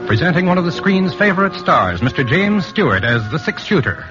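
A man's voice: on the high side, sing-song, brisk. A man announcing in a dramatic, scripted 1950s radio narration style. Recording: dull old radio recording. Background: music.

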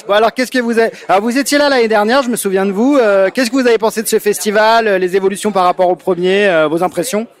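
A man interviewing festival attendees, asking brisk short questions. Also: high-pitched. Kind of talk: vox-pop street interview.

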